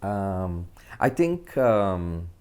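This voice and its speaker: Man, Guyanese accent, low pitch, casual interview guest, hesitant phrasing with pauses. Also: big pitch swings, mellow, clear, resonant tone. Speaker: interview guest, actor and filmmaker.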